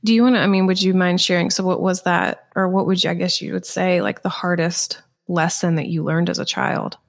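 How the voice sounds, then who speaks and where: A woman's voice: fairly low pitch, steady tone, brisk, creaky. A woman hosting an interview podcast, presenting and putting questions to a guest.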